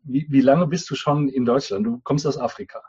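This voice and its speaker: A man hosting an interview, speaking in a measured way, summarizing ideas and asking follow-up questions. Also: mid-range voice, fairly even intonation, darkish tone, husky. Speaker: host. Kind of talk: conversational interview. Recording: dull, muffled sound.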